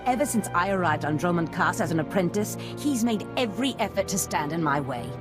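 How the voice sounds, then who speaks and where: Woman playing a low, scheming Sith master. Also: lively intonation, a little fast, music in the background, natural voice. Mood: bitter contempt. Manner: theatrical, commanding, sly. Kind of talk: dramatic video game acting.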